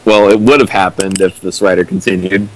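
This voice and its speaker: A man's voice: sits low, fairly even intonation, fairly quick, slight background noise, rough recording. A man co-hosting with dry, sardonic critical commentary. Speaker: co-host commenting on fan fiction.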